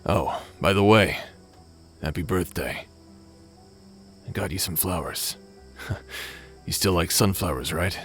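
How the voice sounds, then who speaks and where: A man's voice: sits low, soft background music. A man playing a remorseful former space pirate captain and awkward brother in a dramatic sci-fi audio roleplay. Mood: tender, awkward. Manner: halting, sighing, hesitant delivery.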